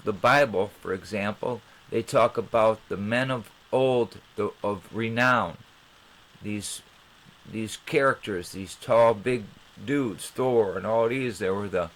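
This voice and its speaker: Slow-talking man, informal host. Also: mid-range voice, low-quality recording. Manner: rambling, halting phrasing. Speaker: channel host. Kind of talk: informal explanatory monologue.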